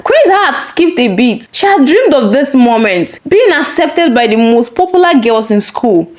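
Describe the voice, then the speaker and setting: A woman's voice: high voice, sing-song, measured pace. A woman narrating a scripted story as a storyteller. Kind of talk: scripted narration of a folktale-style moral story.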